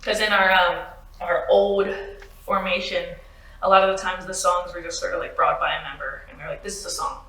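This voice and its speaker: A woman's voice: lowish voice, flat delivery, fairly quick, bright, forceful tone, distant mic. A woman who sings and writes lyrics for a band, speaking hesitantly with many fillers and giggles. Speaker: band singer and lyricist guest. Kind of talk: casual interview chat. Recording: roomy, off-mic.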